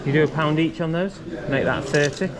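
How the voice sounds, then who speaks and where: A man's voice: fairly high pitch, a little fast. A British man casually chatting and haggling as a customer at an indoor sale. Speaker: vlogger and reseller buying at a sale. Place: indoor church hall table top sale. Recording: loud busy hall crowd chatter.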